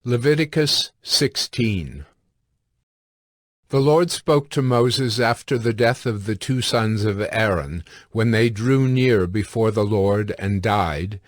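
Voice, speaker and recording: A man's voice: lowish voice, dry recording. Dry, close-sounding male scripture narrator. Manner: measured, formal.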